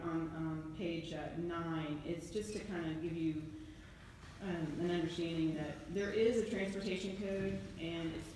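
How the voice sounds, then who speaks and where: A low-pitched woman talks through options for residents, stopping often with ums and restarts. Her voice carries a long reverb from the council hall, with faint noise behind it. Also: a little mellow. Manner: hesitant, many fillers, says she has a light voice. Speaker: city staff member presenting options. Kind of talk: meeting presentation. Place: council meeting room.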